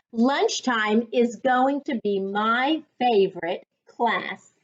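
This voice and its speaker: A woman with a fairly high voice reads a storybook to children very slowly. Her delivery is deliberate and instructive, like a teacher's. The recording is dull and lo-fi. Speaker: reading coach. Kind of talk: read-aloud storytime for children. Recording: dull lo-fi sound.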